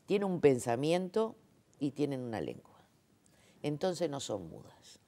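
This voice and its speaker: A woman explains her work in a relaxed interview. Her pitch moves animatedly as she stresses her points. She uses frequent fillers and rhetorical checks. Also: a little slow, gravelly. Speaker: interviewee, sign language interpreter. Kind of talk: conversational interview.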